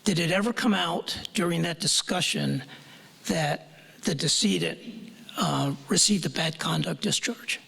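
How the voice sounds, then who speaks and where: Hoarse board member losing his voice. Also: a little high, a little slow, sharp tone.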